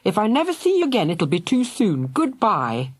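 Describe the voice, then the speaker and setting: Woman doing a furious little brother in a storybook, sneering, husky, pitch jumping wildly. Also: wildly swooping pitch, normal speed, no echo, studio quality. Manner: snarling, shouting insults.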